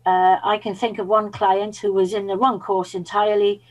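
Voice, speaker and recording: A woman's voice: mid-range voice, flat delivery, moderate pace, a little mellow, low-quality recording. Welsh-speaking woman, coach; chatty storyteller, mid-range voice. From Wales. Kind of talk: interview guest.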